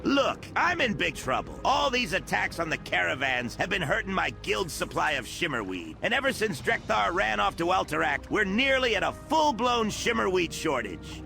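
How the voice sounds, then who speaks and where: A man voicing a shady, excitable herb dealer in a fantasy game, with a creaky voice. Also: sits high, moderate pace, few pauses, soft background music, exaggerated voice. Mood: wheedling. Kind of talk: video game character dialogue.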